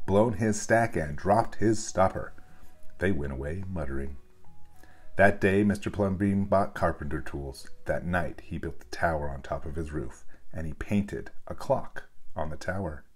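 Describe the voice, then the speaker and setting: Man, low-pitched, husky, children's storybook narration, read aloud, faint background music. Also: normal speed. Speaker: storybook reader.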